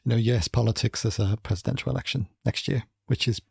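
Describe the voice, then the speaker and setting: A man's voice: mid-range voice, fairly even intonation, quick pace, creaky, darkish tone, slightly rough, dry recording. A man from Wales with a Welsh accent, co-hosting a casual podcast and rambling with dry humour and frequent self-corrections. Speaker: podcast co-host. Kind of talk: casual chat.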